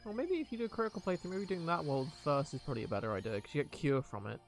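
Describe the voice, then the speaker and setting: A man's voice: a little high, animated, racing. A man doing casual live gameplay commentary as a streamer, with dry joking asides. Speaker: game streamer. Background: game music.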